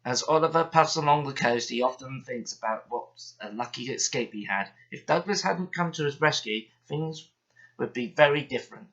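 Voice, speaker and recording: A man reading a children's bedtime story aloud in an expressive, steady manner. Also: medium pitch, moderate pace, muffled recording.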